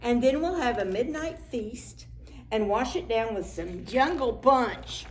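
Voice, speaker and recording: A woman voicing an excitable, slightly grumpy young male ape in a picture book, eager and pouty. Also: on the high side, measured pace, a little hiss or hum. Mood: excited.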